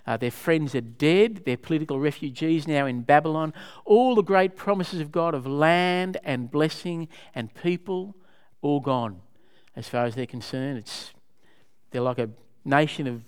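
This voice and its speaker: Man teaching a Bible study, clear voice. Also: mid-range voice. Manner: questioning, conversational, explains to a group.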